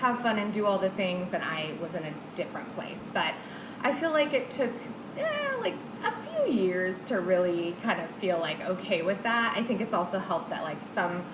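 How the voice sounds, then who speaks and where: A woman's voice: lowish voice, big pitch swings, moderate pace. Reassuring woman in her 30s, casual podcast chat, roomy echo and bg noise. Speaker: podcast guest.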